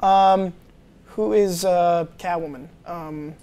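A man's voice: high voice, fairly even intonation, slow pace, mellow, clean tone. A young man co-hosting a movie review show, chatting casually with many fillers and restarts, recorded on a lapel mic in a small TV studio. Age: young adult. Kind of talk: casual chat.

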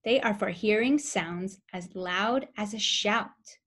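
A young woman reading a picture book aloud to toddlers as a preschool teacher, with simple, expressive phrasing and questions for the listeners. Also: medium pitch, takes it slowly, husky. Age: young adult. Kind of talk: children's story read-aloud.

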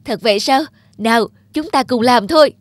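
A man playing a shy young peasant in love in a cartoon fairy tale, hesitant and soft-hearted. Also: extremely high pitch, normal speed. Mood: shy, hopeful. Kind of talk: animated fairy tale acting.

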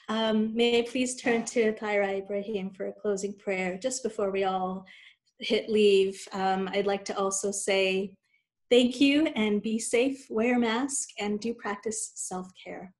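A woman's voice: medium pitch, steady tone. Woman MC, polite, efficient handover, keyboard clicks behind. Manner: brisk, polite hosting. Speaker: event MC and facilitator.

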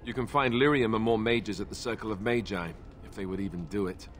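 A man's voice: on the low side, brisk, faint music behind, close to an everyday voice. A man playing a principled, skeptical warrior companion in a fantasy video game, earnest and matter-of-fact. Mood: reasoning.

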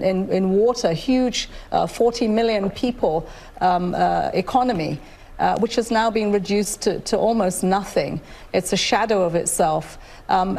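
A woman's voice: mid-range voice, a little mellow. Earnest middle-aged woman from Nigeria. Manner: earnest, measured, frequent fillers and restarts. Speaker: guest, UN official. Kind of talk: live TV interview answers. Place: studio, indoors.

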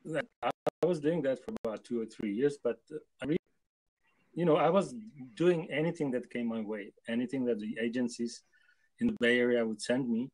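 An older man from Czechoslovakia answering interview questions on a video call, hesitant and full of pauses. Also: mid-range voice, a little mellow, rough recording. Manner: hesitant, with many pauses and fillers. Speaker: guest translator. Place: home room with photos on wall.

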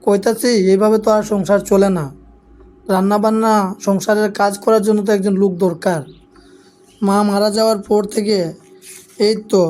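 A man's voice: sits high, a little mellow, natural voice. A man voicing a frustrated young bachelor son in a comic cartoon, whining and plaintive.

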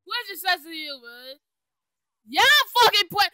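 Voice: very high voice, animated, unhurried, pushed and bright, dry recording, clean studio sound. An amateur performer doing a profane comic cartoon fandub, exclaiming and cursing.

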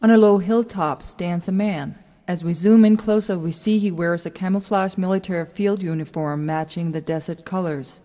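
A woman delivers scripted narration as a narrator of stage directions. Her voice is slightly low and mellow, with a long hall reverb around it. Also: fairly even intonation, normal speed, few pauses.

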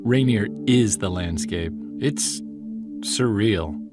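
A man playing a middle-aged Bigfoot investigator in an audio drama, reflective and measured. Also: fairly low pitch, music in the background. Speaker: lead character, narrator. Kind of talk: audio drama acting, scripted first-person narration.

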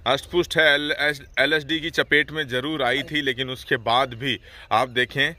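Forceful-voiced man touring a cattle farm. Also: mid-range voice, moderate pace, a little hiss or hum. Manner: steady explanatory, frequent "haan haan" backchannels. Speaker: farm video host. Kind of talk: on-site explanatory commentary.

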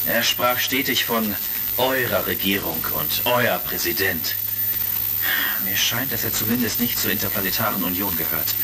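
A calm space commander reasons in a deliberate, creaky voice. It is a retro sci-fi radio play, heard a little distant on a crackling old recording. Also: male voice, on the low side. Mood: grave, thoughtful. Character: heroic space commander, calm, analytical investigator. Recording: crackly vintage vinyl-style recording, distant.

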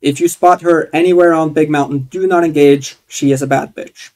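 A man's voice: on the high side, fairly even intonation, moderate pace, darkish tone. A young man on a headset mic, giving a playful, upbeat mock radio DJ announcement in his own voice. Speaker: streamer. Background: faint game music.

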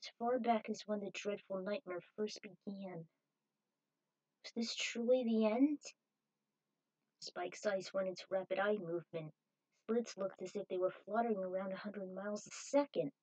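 Woman, mid-range pitch, amateur storyteller, reading fan fiction aloud. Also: normal speed, a little mellow, lo-fi sound. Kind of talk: amateur story narration with acted dialogue.

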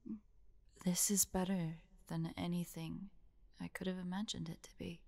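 A woman playing a devoted young heroine in an audio roleplay, halting and breathy, often dropping into whispers. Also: on the low side, a little mellow, no room sound. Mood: shy, tender. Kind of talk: intimate acted audio roleplay.

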